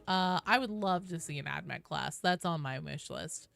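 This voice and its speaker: A woman's voice: deep voice, normal speed, vocal fry, a bit bright, faint music behind. A woman hosting a casual game live stream, chatting playfully with viewers and breaking into sudden exclamations, with teasing, jokey banter. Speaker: video game streamer and host.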